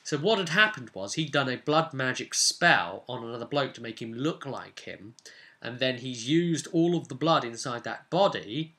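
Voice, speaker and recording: A man with a bright, forceful voice at a middling pitch talks casually as a game master. He recaps a tabletop role-play session like an animated storyteller, repeating phrases to drive points home. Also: moderate pace. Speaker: game master and vidcast host.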